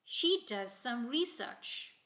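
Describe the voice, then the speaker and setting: Bright-voiced woman reading a storybook, roomy sound. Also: fairly high pitch. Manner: expressive, deliberate storytelling for young listeners. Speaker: storybook reader. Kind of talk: children's story narration, read aloud. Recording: roomy, echoing indoor sound.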